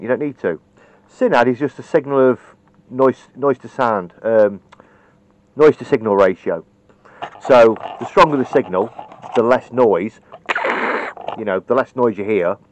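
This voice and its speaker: A man talks off the cuff through a hands-on demonstration, a little low in pitch. He is blunt and matter-of-fact but chatty. The sound is dull and lo-fi, as from a camera's own microphone. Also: a little slow, pauses often, slight background noise. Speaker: hobbyist radio repairer demonstrating. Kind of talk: informal hands-on tutorial, off the cuff. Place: indoors at a cluttered workbench.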